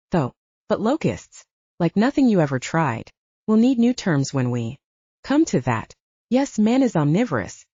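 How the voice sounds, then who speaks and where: A woman's voice: on the low side, warm tone, no echo. A woman voicing a grandiose, effusive male scientist and gourmet in an audiobook, with a pompous, oratorical manner. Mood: expansive, elated. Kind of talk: audiobook character voice. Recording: dull, muffled dry audio.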